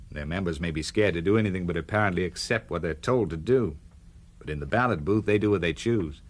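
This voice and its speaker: An actor playing a principled, hard-edged American senator in a radio drama, firm, cool and uncompromising. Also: male voice, low voice.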